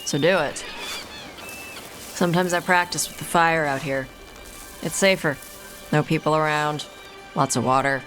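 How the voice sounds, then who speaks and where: Blunt young fire-breather, music behind. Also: female voice, deep voice, steady tone, a little slow, long pauses, rough recording. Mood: annoyed. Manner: blunt, informal. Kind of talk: video game voice acting.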